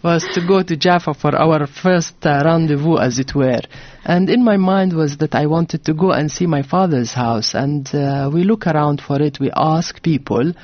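A woman's voice: deep voice, normal speed, dry recording, highs cut off. Earnest woman. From Jordan. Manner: earnest, frequent fillers and restarts. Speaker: author on a book tour. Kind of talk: radio interview, personal storytelling. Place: radio studio.

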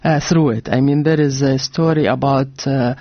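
A woman's voice: a bass voice, fairly even intonation, moderate pace, no room sound, muffled recording. Earnest woman. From Jordan. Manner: earnest, frequent fillers and restarts. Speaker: author on a book tour. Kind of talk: radio interview, personal storytelling. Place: radio studio.

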